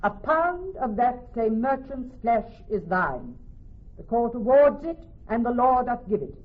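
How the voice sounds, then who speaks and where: Composed young judge, thin radio sound. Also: female voice, fairly high pitch, sing-song. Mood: solemn. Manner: measured, formal, authoritative declamation. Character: clever young woman posing as a male judge, stern, composed. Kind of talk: classical Shakespearean radio drama acting. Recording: thin, narrow-band old radio broadcast.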